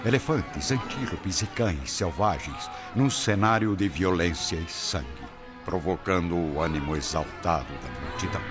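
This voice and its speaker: Deep, grave Brazilian male narrator. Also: expressive. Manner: grave, measured storytelling. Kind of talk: dramatic scripted narration of an audio drama. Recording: dull lo-fi audio, loud music behind.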